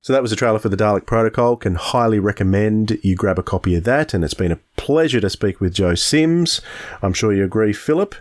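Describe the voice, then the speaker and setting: Low-voiced male podcast co-host. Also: fairly quick. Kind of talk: casual podcast chat.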